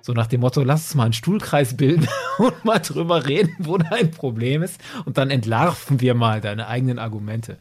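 A man with an average-pitched voice chats as a guest on a podcast. He is opinionated and expressive, arguing his points in a casual, conversational way. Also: fairly quick, hardly any pauses, slightly rough, faint music behind. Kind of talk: casual discussion.

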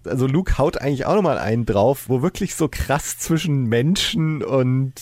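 A man's voice: medium pitch, animated, normal speed, a little mellow, no echo. A man hosting a podcast, talking casually in a reflective, explanatory way. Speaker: podcast host. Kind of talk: casual discussion.

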